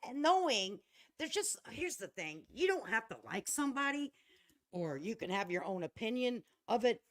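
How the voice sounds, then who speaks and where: A woman hosting a casual reaction livestream, commenting in a folksy, sarcastic, mocking way. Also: medium pitch. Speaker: livestream host.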